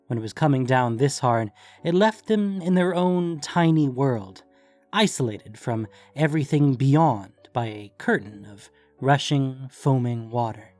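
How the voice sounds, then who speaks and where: Measured male story narrator, medium pitch. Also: lively intonation, a little mellow, faint music behind. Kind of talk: scripted fiction narration.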